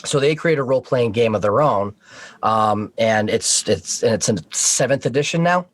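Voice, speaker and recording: A man's voice: mid-range voice, lively intonation, normal speed, dry recording. A man chatting casually as a podcast guest over a video call, explaining things in an informal, geeky way.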